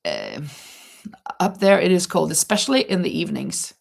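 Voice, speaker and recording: A woman's voice: fairly low pitch, wildly swooping pitch, normal speed, gravelly. A middle-aged Norwegian woman hosting a casual livestream from home, chatting and reading out viewer comments with frequent laughs and restarted words. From Norway. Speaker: livestream host. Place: home, near a terrace.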